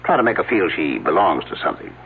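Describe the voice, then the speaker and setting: A man's voice: deep voice, wildly swooping pitch, fast talker, gravelly, a little hiss or hum, full character voice. An actor playing a hesitant male archaeology professor who hems and haws. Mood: fussy. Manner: hesitant, full of uh's. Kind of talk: 1970s radio drama acting. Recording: tinny old radio broadcast.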